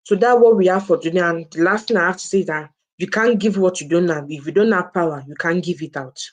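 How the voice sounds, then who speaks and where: A woman's voice: fairly low pitch, quick pace. Female co-host explaining with repeated phrases. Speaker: co-host presenting a book review. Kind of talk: informal explanatory talk.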